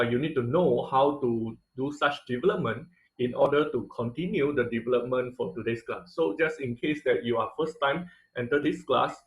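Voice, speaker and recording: Man teaching online, echoey room. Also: medium pitch. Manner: hesitant, many uh and um fillers. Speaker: online class instructor. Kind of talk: online lecture over slides.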